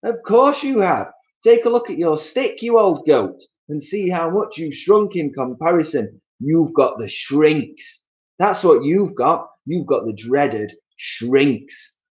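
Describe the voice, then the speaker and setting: A man's voice: on the high side, animated, slow, darkish tone, lo-fi sound, pitched above the performer's own voice. A man voicing a nasty old man who taunts and jeers at his wife in a storybook reading. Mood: taunting. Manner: taunting, jeering. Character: cruel gloating old man, nasty prankster.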